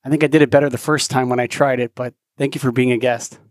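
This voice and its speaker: A man's voice: mid-range voice, steady tone, fast, dry recording. Casual male podcast host, dry sound.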